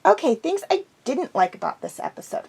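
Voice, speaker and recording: A woman's voice: sits high, very animated, fairly quick, vocal fry, darkish tone. A woman host chatting casually and enthusiastically through an episode review, slightly congested from a cold.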